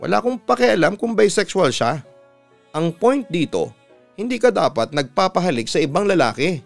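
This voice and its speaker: A man voicing a jealous, controlling male partner who scolds and accuses in a sharp, confrontational manner in a dramatized story. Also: sits high, big pitch swings, normal speed, gravelly, soft background music, voice pushed up, exaggerated voice. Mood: angry, accusing.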